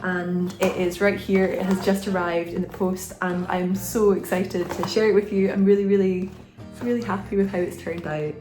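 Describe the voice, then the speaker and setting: A woman's voice: lowish voice, little pitch movement, normal speed, dark tone, faint music behind. A woman artist chatting to camera in an encouraging, friendly way, sounding a little stuffed up from a cold. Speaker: artist and video creator. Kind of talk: casual talk to camera.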